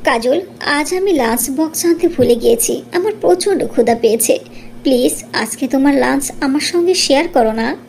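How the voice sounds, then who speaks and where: Meek, hurt cartoon schoolgirl, played by a woman, high-pitched, with faint noise behind. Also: expressive, moderate pace, creaky, a little mellow. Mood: pleading. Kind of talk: cartoon story dialogue.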